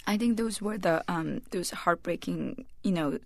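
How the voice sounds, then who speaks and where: A woman in her forties from South Korea, speaking with a Korean accent, thoughtful and reflective, pausing often with ums and restarts as a radio interview guest. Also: on the low side, gravelly, darkish tone, dry recording. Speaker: author and journalist guest.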